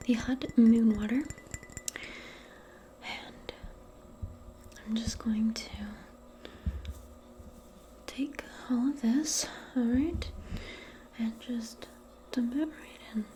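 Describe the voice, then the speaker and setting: Soft, slow, whispering woman in ASMR. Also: fairly high pitch, fairly even intonation, slight background noise. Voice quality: soft, breathy, often whispering. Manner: unhurried, gentle, casual murmuring. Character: modern witch giving a calming spell appointment. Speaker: ASMR role-play host. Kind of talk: soft-spoken ASMR personal attention role play.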